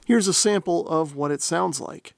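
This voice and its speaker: A man's voice: on the high side, moderate pace, vocal fry, no room sound. A man narrating a product demonstration in a methodical, step-by-step explanatory way. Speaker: host of a radio gear review channel.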